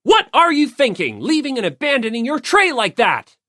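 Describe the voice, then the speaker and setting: A man voicing a strict, indignant teenage hall monitor in an audiobook, scolding loudly in formal words. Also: very high voice, wildly swooping pitch, normal speed, voice pushed up, full character voice. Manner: formal, scolding, forceful shouting. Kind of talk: audiobook character voice.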